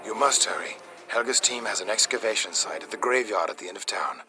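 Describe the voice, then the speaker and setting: A man playing a weary, ailing villager who secretly helps, for a video game. He talks low, grave and breathy, often near a whisper. There is clear noise around him. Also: animated, fairly quick, close to an everyday voice. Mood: grave, urgent.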